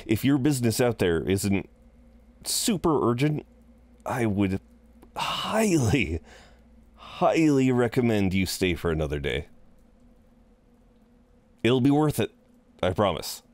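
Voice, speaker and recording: Man playing a deep-voiced flirty incubus innkeeper. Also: big pitch swings, slow, long pauses, darkish tone, no echo. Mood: apologetic, eager. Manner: rambling, hesitant asides, chuckling, flirtatious. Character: flirtatious, chatty male incubus innkeeper, welcoming host. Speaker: innkeeper at a front desk. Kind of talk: roleplay audio drama, conversational acting.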